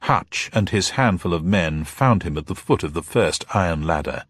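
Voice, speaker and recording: A man's voice: deep voice, expressive, normal speed, breathy edge, dry recording, clean studio sound. A man reading a thriller novel aloud as a dramatic narrator. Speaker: audiobook narrator.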